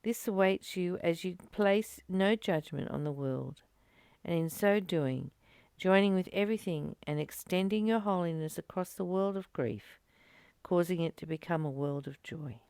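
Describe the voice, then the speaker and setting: A woman giving a reflective spiritual teaching, reading and commenting in short, earnest, gently urging phrases with pauses. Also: lowish voice, clean tone, no echo.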